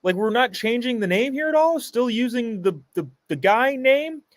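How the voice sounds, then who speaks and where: A man's voice: extremely high pitch, animated, normal speed, no room sound. A man hosting a live show, giving sarcastic commentary on a clip and reading viewer comments aloud.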